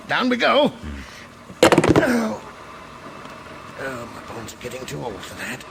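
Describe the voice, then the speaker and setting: A man's voice: mid-range voice, huge pitch swings, takes it slowly, gravelly, background noise, exaggerated voice. A man playing a friendly old rogue trader in a space opera audio drama, folksy, rambling and given to nervous chuckles. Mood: jovial, flustered. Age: older.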